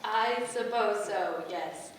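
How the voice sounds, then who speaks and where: A woman playing a calm, motherly, goddess-like being with a stately, formal way of speaking, in a staged play reading. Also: medium pitch, takes it slowly, natural voice. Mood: solemn. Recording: echoey room, distant mic, background noise.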